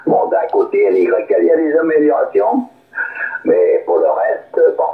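A man chatting casually over a radio link as an amateur operator, rambling and halting. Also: a little high, wildly swooping pitch, creaky. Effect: radio transmission. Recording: phone-like radio signal, echoey.

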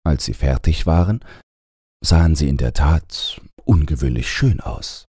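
A man's voice: very deep voice, animated, measured pace, mellow, breathy edge, no echo, clean studio sound. A man narrating a scripted fairy tale as a bedtime audiobook.

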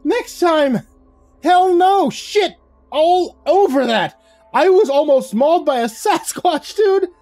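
A man's voice: animated, slow pace, long pauses, dark tone, breathy edge, no echo, soft background music, full character voice. A man voicing a jittery young new hire who stammers and swears, in a storybook narration. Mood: screeching. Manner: stammering, swearing, high-pitched panic.

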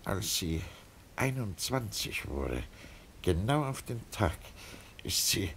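An actor in a radio crime drama playing an anxious, evasive, sickly middle-aged father, halting and flustered as he talks. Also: on the low side, very animated, gravelly, fairly bright tone, breathy edge, exaggerated voice. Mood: weary, plaintive.

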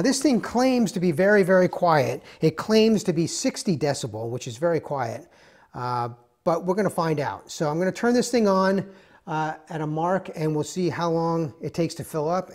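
A man's voice: a little high, a little mellow. Rambling man reviewing tools. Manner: rambling, many fillers and restarts. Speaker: tool reviewer. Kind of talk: casual product review. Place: indoors, garage or workshop with concrete floor.

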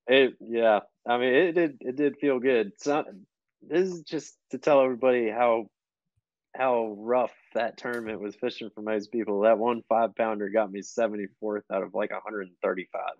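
A man's voice: medium pitch, steady tone, normal speed, darkish tone, no room sound. A man chatting casually as a podcast guest, laid-back and slangy, often repeating himself. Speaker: podcast guest, tournament angler. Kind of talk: casual chat. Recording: dull, lo-fi call audio.

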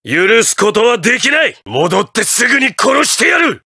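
An actor playing an imperious, ruthless patriotic president in a Japanese anime fighting game, with grandiose, theatrical declarations. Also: male voice, a little high, animated, unhurried, a bit bright, no room sound, clean studio sound. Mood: ruthless, resolute.

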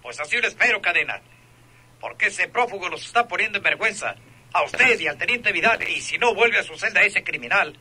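Stern mexican police captain, really piercing high raspy voice, scolding, old radio drama. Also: male voice, lively intonation, fairly quick, bright, forceful tone, full character voice. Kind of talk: Mexican radio serial acting.

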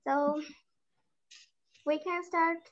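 A woman's voice: high voice, flat delivery, very slow. A teenage girl with a Bangladeshi accent hosting an online group reading over a video call. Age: teens. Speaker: session host. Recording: dull video-call audio.

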